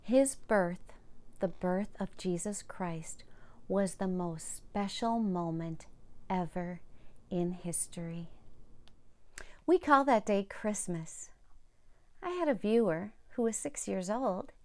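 A woman in her fifties reading a story aloud to young children and gently explaining it in simple words. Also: medium pitch, expressive, slow, pauses often. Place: indoors, home room with bookshelves. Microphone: lapel mic.

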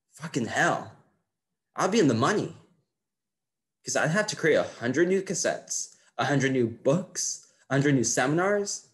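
A man performs a brash male motivational guru on stage. He takes his time at an ordinary male pitch. Each short phrase lands as a punchy, cocky declaration. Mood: smug, emphatic. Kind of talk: motivational seminar monologue, stage acting.

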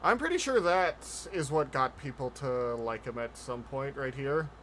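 A man's voice: mid-range voice, lively intonation, normal speed, fairly bright tone, a little hiss or hum. A man doing casual streamer commentary as he reacts to a video, close on the mic. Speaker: streamer, VTuber host. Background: trailer music and sounds.

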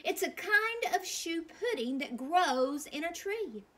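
A woman voicing a pompous storybook wise man who explains nonsense in a drawn-out, knowing way. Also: very high voice, animated, bright, forceful tone, pitched above the performer's own voice, heavily acted.

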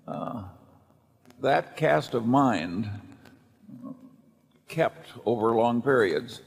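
An older man seated on a large stage, answering shareholders in a measured, deliberate, aphoristic way. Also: medium pitch, animated, creaky, breathy edge. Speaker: company vice chairman at a shareholder meeting. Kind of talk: unscripted answer at a shareholder meeting. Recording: long hall reverb from the PA.

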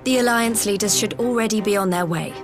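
A woman's voice: medium pitch, a little fast, lightly acted. Woman acting frank young rebel leader, music behind. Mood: encouraging. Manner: direct, earnest. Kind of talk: video game voice acting.

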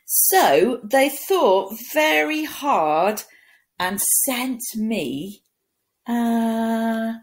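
A woman in her forties reads a picture book to young children as a teacher would, expressive and playful, with dramatic pauses and hushed asides, recorded in a room at home. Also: a little high. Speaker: primary school teacher reading a picture book. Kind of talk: animated children's story reading. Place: small room at home, indoors.

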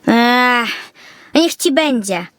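A woman's voice: on the high side, animated, very slow, fairly bright tone, no echo, pitched above the performer's own voice, full character voice. A woman playing a small, grumpy, nervous male Christmas elf who frets and grumbles in a children's audio fairy tale. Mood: reluctant, worried. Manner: fretful, grumbling, exclaiming.

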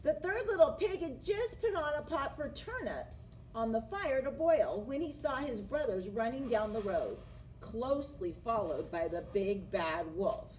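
Middle-aged woman, children's storyteller, high and very animated, camera audio with clear room noise. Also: measured pace, poor audio. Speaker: storyteller, teacher. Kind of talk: expressive children's picture-book reading. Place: small classroom, indoors.

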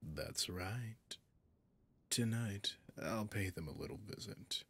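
Man doing a deep, breathy, possessive demon. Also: expressive, fairly bright tone, exaggerated voice. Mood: wicked, teasing. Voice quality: gravelly, breathy, often whispering. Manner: slow, seductive, menacing, condescending. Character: possessive gloating male demon possessing a human. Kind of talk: ASMR roleplay.

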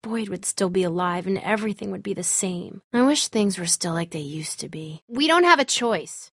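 A woman's voice: medium pitch, lively intonation, moderate pace, dry recording, studio quality. A woman voicing a tough, sarcastic teenage girl in a zombie survival video game, blunt and snarky in a naturalistic way. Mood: subdued, resigned.

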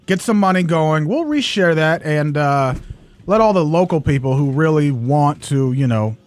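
A man's voice: on the high side, lively intonation, normal speed. A man co-hosting morning radio in a studio, upbeat and friendly. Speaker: radio host. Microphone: studio mic.

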